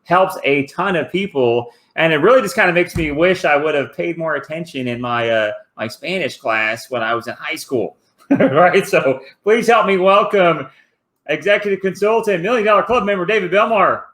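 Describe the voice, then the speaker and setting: A man's voice: a little high, animated, moderate pace, a bit bright. A man hosting a casual interview, friendly and affirming, with fillers like "kinda" and "uh". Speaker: host.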